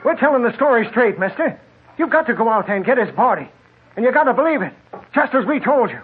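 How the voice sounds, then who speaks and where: An actor playing an urgent, insistent desert traveler with a husky voice, earnest and melodramatic, in a vintage radio drama. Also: male voice, very high voice, sing-song, fast, slight background noise. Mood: desperate. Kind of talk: 1940s radio drama acting. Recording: tinny vintage radio recording.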